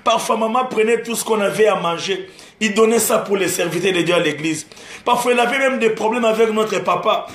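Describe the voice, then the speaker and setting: Guy preaching, lots of repeating lines, switches into lingala, fairly high voice, echoey room. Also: fairly quick, fairly bright tone. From Democratic Republic of the Congo. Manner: repetitive preaching cadence with laughter, mixing French and Lingala. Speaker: gospel singer preaching. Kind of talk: sermon-style testimony. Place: live-streamed church setting.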